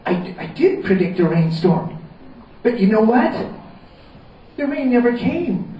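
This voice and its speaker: A man's voice: extremely high pitch, very animated, measured pace, pitched above the performer's own voice, full character voice. A man voicing a flustered wizard who stammers and defends himself. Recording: roomy, distant, slightly dull.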